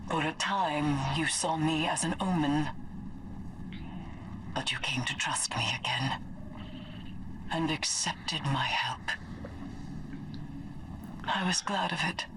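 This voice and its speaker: Low, unhurried female warrior, grave game farewell, distant noisy playback. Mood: solemn, tender. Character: solemn fallen female warrior bidding farewell. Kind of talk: dramatic game monologue.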